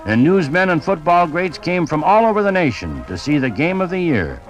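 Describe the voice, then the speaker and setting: Male 1950s radio sports narrator, animated delivery, slightly high voice, scripted storytelling. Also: normal speed, soft background music, poor audio.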